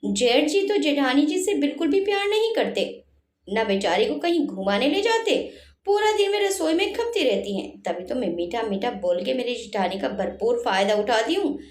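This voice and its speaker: A woman's voice: sits high, big pitch swings, normal speed. A woman voicing a lazy, sly young daughter-in-law in a family story, with a smug, wheedling manner. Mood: smug, gloating. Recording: roomy echo on the voice.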